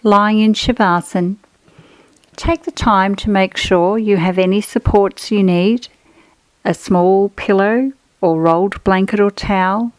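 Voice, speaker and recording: A woman leads a guided yoga relaxation in a soothing, measured voice of medium pitch. She gives gentle instructions phrase by phrase, leaving pauses between them. The sound is close and dry, with very little room around it. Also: steady tone, no echo, rough recording.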